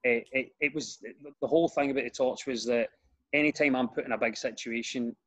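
A man's voice: medium pitch, fairly even intonation, brisk. A Glaswegian comedian in his thirties chatting casually, telling self-deprecating stories. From Scotland. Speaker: comedian guest. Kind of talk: casual chat.

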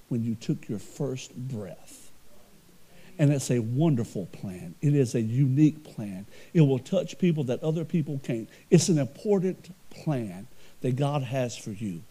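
Middle-aged male pastor, loud carrying voice, mellow tone, earnest and conversational from the pulpit. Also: mid-range voice, poor audio. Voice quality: loud voice that carries. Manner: earnest, conversational preaching with repeated restarts. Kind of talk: sermon.

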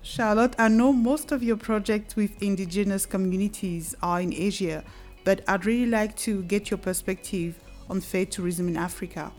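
Female host, mid pitch, steady questions, faint music under. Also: moderate pace. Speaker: interviewer, host. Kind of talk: interview questions.

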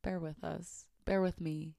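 A woman's voice: lowish voice, level tone, measured pace, gravelly, mellow, dry recording, studio quality. A young woman co-hosting a casual podcast, stuffy and congested, chatty and opinionated, laughing often. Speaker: podcast co-host. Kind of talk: casual chat.

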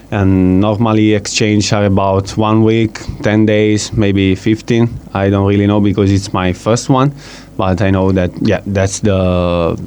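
Low-voiced man hosting a casual radio chat. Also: darkish tone, slight background noise. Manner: hesitant, filler-heavy non-native English. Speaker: radio show host.

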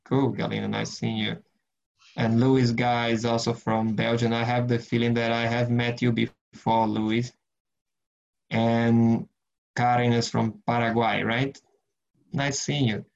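A man's voice: mid-range voice, level tone, measured pace, gravelly, highs cut off. A man, leading an online Bible study, speaking earnestly with many restarts, then praying. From Brazil. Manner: earnest, rambling, frequent restarts and fillers. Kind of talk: casual teaching and prayer in an online group session.

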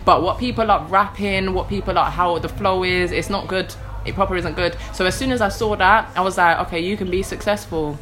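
A woman's voice: on the low side, steady tone, a little fast, hardly any pauses, a bit bright. A London woman in her late twenties, a rapper and DJ, chatting frankly in an interview and laughing often. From London, England. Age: late 20s. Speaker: rapper and DJ guest. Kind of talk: casual interview chat.

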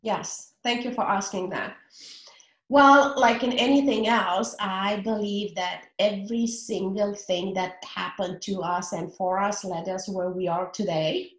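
A woman in her forties with a Romanian accent, a relationship coach speaking earnestly in a casual interview, often pausing on fillers. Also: medium pitch, steady tone, roomy sound, rough recording.

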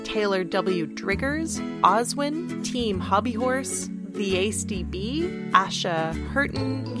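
A woman co-hosting a podcast, reading out thanks to listeners. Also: medium pitch. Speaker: podcast co-host. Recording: music playing behind the voice. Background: music.

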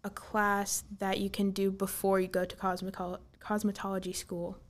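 A woman chats casually as co-host of an advice podcast. Her voice is middling in pitch and her style is conversational. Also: flat delivery, moderate pace.

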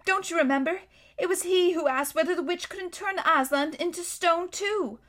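A timid little girl, as a woman puts her on, soft and anxious, pleading for help. The voice is pitched very high and light. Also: moderate pace. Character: youngest girl, gentle and frightened.

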